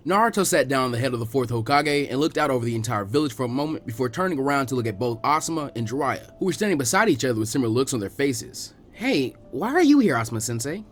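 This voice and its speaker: A man narrating a fan fiction story as an expressive scripted reading. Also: mid-range voice, very fast, hardly any pauses.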